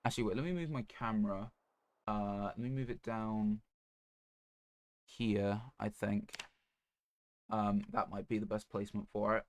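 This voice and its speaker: A man chatting casually on a gaming livestream in a low-key, rambling, hesitant way. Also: fairly even intonation, measured pace, long pauses. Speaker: video game streamer.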